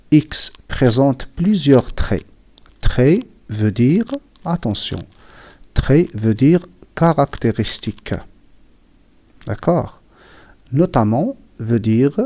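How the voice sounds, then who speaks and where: Slow-talking man teacher with a cold. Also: medium pitch. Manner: repeats and defines words, pauses often. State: has a cold, clears throat. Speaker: French writing teacher. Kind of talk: slow classroom explanation.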